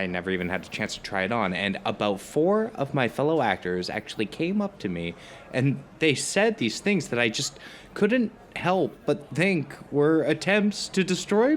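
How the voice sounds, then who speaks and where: Guy acting as a neurotic friend at dinner, mid-pitched, stammers and hedges a lot, halting audio drama chat. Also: slight background noise, close to an everyday voice. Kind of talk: conversational audio drama dialogue.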